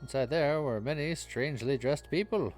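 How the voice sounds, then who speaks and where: A man's voice: medium pitch, moderate pace, a little mellow, soft background music. A man reading video game story text aloud on a live stream, mixing dramatic narration with joking casual chat.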